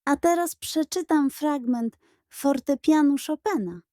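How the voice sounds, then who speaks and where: A woman's voice: sits high, a little slow, dry recording, studio quality, pitched above the performer's own voice. A woman voicing a dignified, demanding but composed female schoolteacher in a children's audio storybook. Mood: calm announcing. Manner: calm, stately, gently reproving.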